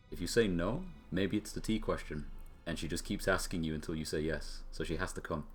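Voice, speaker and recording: A man with a low-pitched voice commentates on a role-playing game he is streaming. He talks casually to his viewers and thinks aloud in a rambling way. Soft game music runs underneath his voice. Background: video game music.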